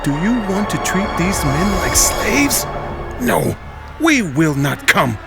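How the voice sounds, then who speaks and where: A man's voice: sits high, sing-song, slow, gravelly, over music, pitched above the performer's own voice, full character voice. A man playing bitter, defiant rebel brothers. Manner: bitter, accusing.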